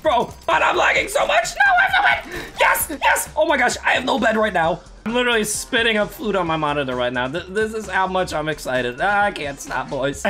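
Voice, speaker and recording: Hoarse, hyped young gaming streamer man. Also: sing-song, a little fast, few pauses, pushed and bright, faint music behind. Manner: hyped, laughing, voice cracking up high. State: hoarse, losing his voice. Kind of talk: excited gameplay commentary. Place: home gaming setup, indoors.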